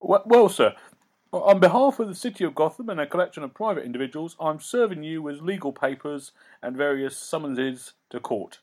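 A man voicing a nervous, fussy male city lawyer who stammers and talks fast. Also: expressive, darkish tone. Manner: stammering, high and hurried.

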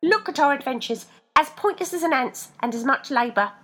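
A woman voicing a pair of talking feet, sarcastic brothers who scold loudly and sound lofty. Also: high-pitched, expressive, a little fast, voice pushed up.

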